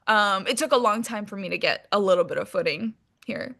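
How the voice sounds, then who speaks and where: A woman's voice: mid-range voice, expressive, fairly quick, creaky, a bit bright. A woman being interviewed as a guest, talking casually and candidly with informal language and some swearing.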